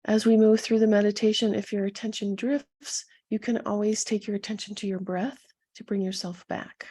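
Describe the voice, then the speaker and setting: A woman leading a guided meditation as a coach, speaking softly and soothingly to her listeners with a gentle, husky delivery. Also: medium pitch, fairly even intonation. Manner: soothing, gentle, unhurried instruction with pauses.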